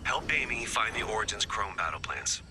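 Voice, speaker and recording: A man's voice: lowish voice, lively intonation, normal speed, sharp tone. An actor voicing a wisecracking male video game hero, with a wry, bantering manner. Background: game music.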